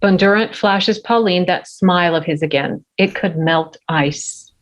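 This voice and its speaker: A woman's voice: lowish voice, a little slow. A woman reading scene headings and action lines as narrator at a script table read. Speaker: stage direction narrator.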